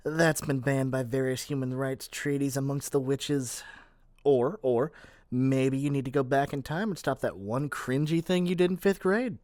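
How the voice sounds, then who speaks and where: A man's voice: mid-range voice, normal speed, a little mellow, no room sound, clean studio sound, lightly acted. A man playing a lovesick young witch shopkeeper in a scripted ASMR roleplay, theatrical and coaxing in a playful way. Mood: playful, salesy. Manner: theatrical, coaxing, playful, stammers when pleading.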